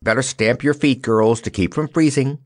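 A man's voice: mid-range voice, normal speed, no echo, clean studio sound, voice pushed up, close to an everyday voice. A man voicing a teasing, boastful straw scarecrow in a children's storybook, with a jaunty, mocking manner.

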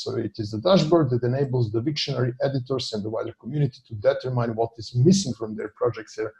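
A man's voice: medium pitch, gravelly, dark tone, rough recording. A man, a data scientist walking an online meeting through a dashboard on a shared screen, with many fillers and restarts. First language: Serbian. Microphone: video call.

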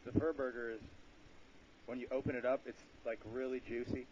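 A man's voice: mid-range voice, level tone, brisk, darkish tone. A man making a casual prank phone call, rambling and slangy while keeping a straight face. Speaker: prank caller. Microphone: telephone. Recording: muffled noisy phone line, clicks.

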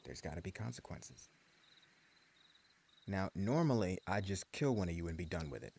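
A man's voice: deep voice, big pitch swings, moderate pace, gravelly, darkish tone, husky, full character voice. A man voicing a menacing post-apocalypse gang leader in an audio roleplay, speaking in a taunting, mock-friendly drawl. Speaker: voice actor.

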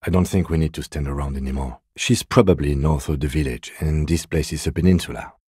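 A man acting a reserved, dutiful police detective in a video game, speaking in a measured, formal, deadpan way with dry courtesy. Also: extremely low pitch, breathy edge, dry recording. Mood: dry, composed. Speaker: voice actor.

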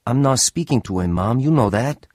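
A man's voice: medium pitch, animated, moderate pace, a little mellow, no echo, clean studio sound, close to an everyday voice. A man playing a cocky, skeptical young boxer in a radio drama. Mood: wary, anxious. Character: cocky, skeptical young male boxer turned aviation worker. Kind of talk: radio drama acting with first-person narration.

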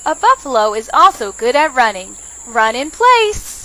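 A woman's voice: sits high, very animated, moderate pace, sharp tone, a little hiss or hum, poor audio. A woman narrating a children's storytime, putting simple questions and instructions to young listeners. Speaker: children's librarian reading stories.

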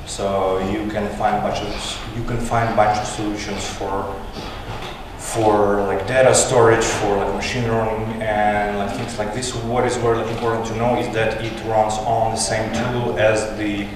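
A man's voice: lowish voice, flat delivery, measured pace. A man in his thirties, a native Russian speaker, giving a tech conference talk in English with many fillers and restarts. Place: large conference room. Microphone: handheld mic, far camera. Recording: echoey hall recorded from far off, noisy.

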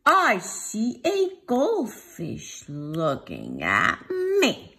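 A woman reads a children's storybook as a preschool teacher would. Her pace is extremely slow, with drawn-out, sing-song phrases. Her medium-pitched voice rises and falls in an animated way for small listeners. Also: slight background noise. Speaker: preschool teacher reading aloud to class. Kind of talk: children's storybook read-aloud. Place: home dining room, indoors.

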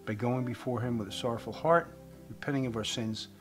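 Guy in his 50s doing a faith talk to camera, earnest and deliberate, guitar playing behind. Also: mid-range voice. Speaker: host giving a faith message. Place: indoors, room with wooden beams. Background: guitar music.

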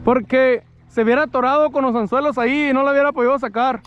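High-pitched Mexican man chatting outdoors. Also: steady tone, fast, muffled recording, a little hiss or hum. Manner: colloquial, swearing, repeats phrases. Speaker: angler filming his fishing outing. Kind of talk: casual fishing vlog chat. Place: outdoors on a lake shore.

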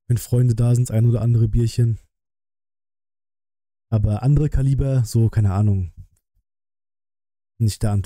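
A man's voice: on the low side, gravelly, warm tone, no room sound. A man, giving casual live-stream commentary in a colloquial, musing way, close on the mic. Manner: musing, colloquial, thinking aloud. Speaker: streamer reacting to a game trailer.

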